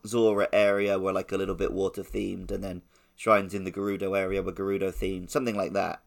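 A man with a heavily creaky, lowish voice chats as a podcast co-host. His delivery is conversational and informal, the sort used for talking games over with friends. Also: flat delivery, brisk. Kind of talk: casual gaming podcast discussion.

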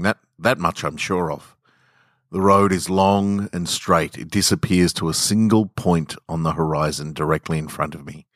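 A man's voice: deep voice, fairly bright tone, no room sound, clean studio sound. A man hosting a podcast and reading literary stories in a reflective, conversational way. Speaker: podcast host.

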